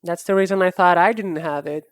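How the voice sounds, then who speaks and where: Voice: medium pitch, brisk, no echo. Musing streamer with a Brazilian accent. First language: Portuguese. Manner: musing, hesitant, with frequent restarts. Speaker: art streamer. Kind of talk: casual chat answering a live chat.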